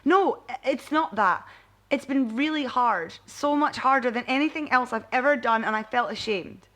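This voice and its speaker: A woman's voice: fairly high pitch, moderate pace, a bit bright, lightly acted. A woman voicing a sensitive, impatient young woman scientist who speaks emotionally in a bedtime story. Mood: ashamed.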